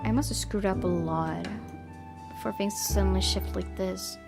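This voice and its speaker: A woman's voice: mid-range voice, steady tone, moderate pace, creaky. Woman reading game narration over music. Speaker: gaming video host. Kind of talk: reading visual novel narration aloud during gameplay.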